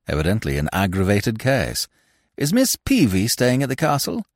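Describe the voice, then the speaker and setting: A man voicing a suave young gentleman in an audiobook, with a smooth, grave, mock-formal manner. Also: lowish voice, wildly swooping pitch, normal speed, no echo, clean studio sound, exaggerated voice. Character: suave, unflappable young English gentleman with florid courtesy.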